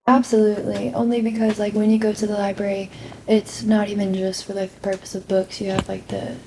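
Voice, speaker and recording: A woman's voice: medium pitch, flat delivery, a little fast, mellow, faint music behind. A woman in her twenties giving her opinion in casual chat, full of "like" fillers. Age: 20s. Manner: chatty, many "like" fillers. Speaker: interviewee.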